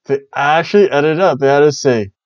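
A man's voice: a little high, fast, no echo. A man in his forties casually recapping wrestling shows from a small home room, jokey and loose with playful exclamations, on a headset mic. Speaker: wrestling review host. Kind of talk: casual review chat. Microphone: headset mic on a webcam call. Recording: dry, dull lo-fi webcam audio.

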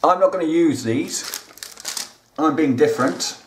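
A man's voice: on the high side, animated, unhurried, noisy background. A man, hosting a casual gardening how-to, chatting plainly and talking straight to his viewers at home. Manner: chatty, plain-spoken, talks to viewers directly. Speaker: gardening channel host. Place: indoors at home, kitchen counter.

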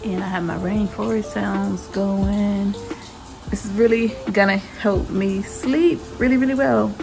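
A woman's voice: mid-range voice, steady tone, measured pace, gravelly, music in the background. A woman in her thirties chatting informally in a casual home vlog, recorded indoors on a handheld phone. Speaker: vlogger.

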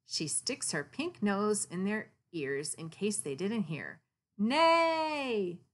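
A woman narrating a children's picture book in an expressive read-aloud style. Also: fairly low pitch, takes it slowly.

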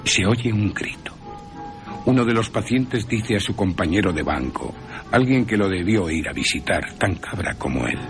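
A man's voice: low voice, expressive, moderate pace, soft background music. A man with an accent from Spain narrating a story for radio in a wry, theatrical, dramatic manner. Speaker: narrator and presenter.

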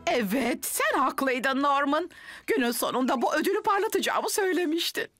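A bossy, dramatic shopkeeper mum from a children's cartoon. Her high voice swoops wildly as she fusses and scolds. Music is heard behind her. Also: sing-song, normal speed, fairly bright tone, no room sound. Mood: reproachful. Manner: scolding, theatrical.

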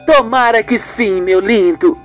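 A performer voicing a calm, determined woman in a radio soap, speaking firmly and deliberately. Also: medium pitch, soft background music, pitched above the performer's own voice, close to an everyday voice. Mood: resolute. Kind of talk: radio soap opera acting.

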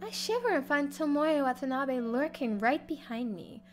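A young woman with a high voice reads a first-person story expressively. Her intonation swings in a lively way, and music plays behind her. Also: moderate pace. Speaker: streamer reading a visual novel. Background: game music.

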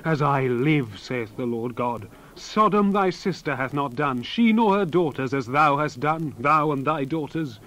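A man voicing a wrathful God in a dramatized scripture reading, declaiming solemnly and swinging between forceful cries and hushed near-whispers. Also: fairly high pitch, expressive, measured pace, hardly any pauses. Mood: stern, accusing. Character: wrathful male God pronouncing solemn judgment.

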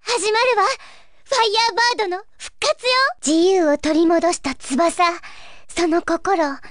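An actress playing a gentle, earnest young fairy guide of a dream world in a video game, with a wistful, pleading manner. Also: female voice, very high-pitched, measured pace, dry recording, clean studio sound. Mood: wondering. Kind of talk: Japanese video game story acting.

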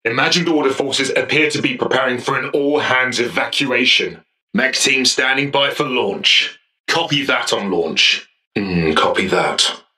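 An actor playing an armored sci-fi commander piloting a war mech in a video game, with a clipped, commanding military delivery. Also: male voice, medium pitch, unhurried, sharp tone, far from the mic, poor audio. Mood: alert, businesslike. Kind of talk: scripted video game voice acting.

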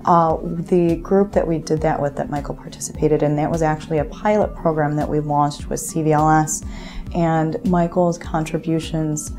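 Low-voiced woman praising a volunteer. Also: fairly even intonation, faint music behind. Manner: warm, measured praise. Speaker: pro bono coordinator. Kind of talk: interview to camera. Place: indoor office.

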